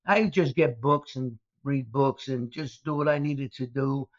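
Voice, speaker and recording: A man's voice: medium pitch, unhurried, dry recording. An older man from Brooklyn, recounting his past in a rambling way as an interview guest. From Brooklyn, New York. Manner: rambling, many uh fillers and restarts. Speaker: guest, former mobster turned speaker. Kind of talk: storytelling interview. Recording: dull, dry lo-fi audio.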